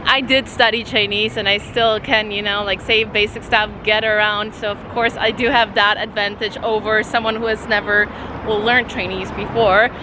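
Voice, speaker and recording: A chatty, excitable young woman from Poland, vlogging casually as she travels through city streets and taxis, laughing often. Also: mid-range voice, moderate pace. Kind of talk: casual travel vlog to camera. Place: outdoors on city streets and in a taxi. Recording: noisy outdoor vlog with loud crowd and music. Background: loud street crowd and music.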